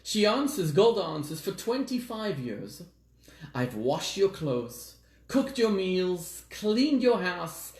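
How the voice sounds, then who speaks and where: A man from Zimbabwe raised in South Africa, a rabbi giving a sermon, telling stories with dramatic pauses and slowing down on key words. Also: high-pitched, big pitch swings, a little mellow. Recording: echoey room.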